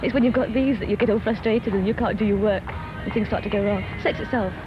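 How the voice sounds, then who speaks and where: A woman's voice: mid-range voice, fast talker, over music. A young woman talking as a student interviewer, putting questions and giving views. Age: young adult. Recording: dull, noisy 1960s newsreel sound.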